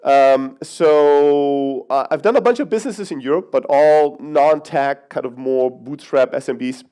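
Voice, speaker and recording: A man's voice: a little high, measured pace, dark tone, clear, resonant tone. A man in his thirties from Greece, raised in Germany, giving a brash, joking conference keynote with the odd swear. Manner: brash, profane, joking with the audience. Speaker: conference speaker. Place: conference hall.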